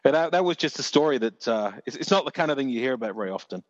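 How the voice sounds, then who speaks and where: A man's voice: mid-range voice, expressive, very fast, dry recording, big-room sound, highs cut off. A man with a Dorset accent telling stories in a casual chat, rambling with many restarts and the odd swear word. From England.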